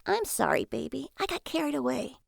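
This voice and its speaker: A woman's voice: sits high, very animated, fairly quick, creaky, no room sound, clean studio sound, voice pushed up, heavily acted. A woman voicing an impulsive, hot-tempered wife who swings from snappy to sweet. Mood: apologetic.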